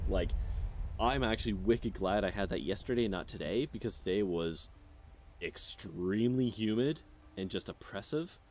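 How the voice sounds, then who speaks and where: A man's voice: low-pitched, a little mellow, slight background noise. A man in his thirties streaming a game, chatting casually with his viewers and rambling with lots of fillers. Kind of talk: casual chat with chat while gaming.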